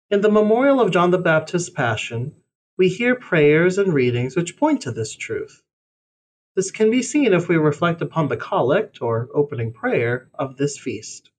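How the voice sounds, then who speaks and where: A man with a somewhat high voice narrates a scripted religious piece. His delivery is measured and formal, yet his intonation moves up and down in a very lively way. There is a faint noise with a low rumble behind him. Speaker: reader of a religious blog essay. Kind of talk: scripted read-aloud narration.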